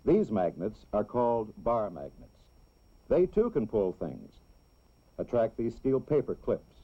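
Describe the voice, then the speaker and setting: A man narrates a scripted educational film for children in a measured, clearly explanatory way. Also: lowish voice, big pitch swings. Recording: dull, lo-fi old film soundtrack.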